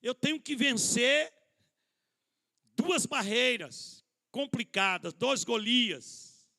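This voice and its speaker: High, gravelly, bright-voiced man preaching. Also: expressive, slow. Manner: addresses listeners as brothers, rhetorical questions, self-deprecating humor. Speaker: pastor. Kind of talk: conversational sermon at a church conference. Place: church hall.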